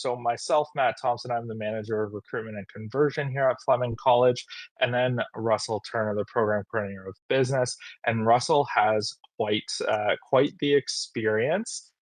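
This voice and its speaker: A man's voice: mid-range voice, moderate pace, no room sound, rough recording. Upbeat guy hosting a college webinar, lots of uhs and ums, dry close video call sound. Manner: friendly, filler-heavy, upbeat. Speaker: webinar host, college recruitment manager. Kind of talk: casual webinar introduction.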